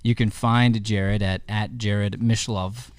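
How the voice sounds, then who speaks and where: A man's voice: on the low side, fairly even intonation, takes it slowly. A man hosting a podcast and telling motivational stories in an earnest, emphatic, conversational way. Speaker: podcast host and acting teacher. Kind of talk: casual podcast talk and motivational storytelling.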